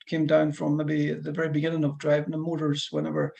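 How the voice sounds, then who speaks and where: Brief: Fife-accented driving instructor, middle-aged man, fairly high voice with heavy rasp, talky and meandering. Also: monotone, quick pace, darkish tone, highs cut off. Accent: Scottish, Fife. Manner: chatty, rambling explanations with restarts. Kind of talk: one-to-one lesson over a call.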